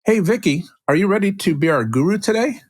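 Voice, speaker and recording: A man's voice: high voice, lively intonation, brisk, no room sound, studio quality. A male podcast host introducing a guest and asking friendly, upbeat questions with fillers. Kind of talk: podcast interview.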